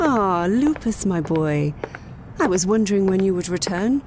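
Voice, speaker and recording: A woman's voice: expressive, moderate pace, lightly acted. A woman playing a commanding, doting, villainous mother in a sci-fi audio drama, theatrical in delivery. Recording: clear background rumble and noise.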